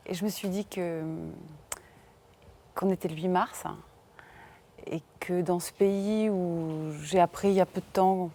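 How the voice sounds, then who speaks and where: Impassioned low-voiced woman commentator. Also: steady tone, unhurried, pauses often, dark tone, a little hiss or hum. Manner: impassioned, searching for words. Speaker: philosopher commentator. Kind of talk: closing commentary. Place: TV studio.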